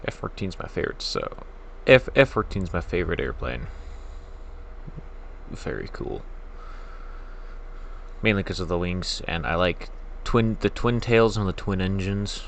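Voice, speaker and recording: A man's voice: sits low, animated, measured pace, vocal fry, long pauses, a little hiss or hum. A man giving casual, rambling gameplay commentary as the laid-back host of a gaming video. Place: voice-over with game music behind.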